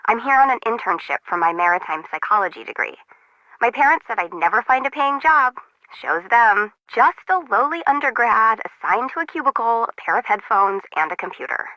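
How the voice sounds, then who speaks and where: A woman's voice: on the high side, expressive, brisk, sharp tone, no room sound. A young woman playing a chatty, quirky intern in a video game, breezy and wry, heard over a radio. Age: young adult. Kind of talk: casual video game voice acting. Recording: muffled radio-like sound, dry.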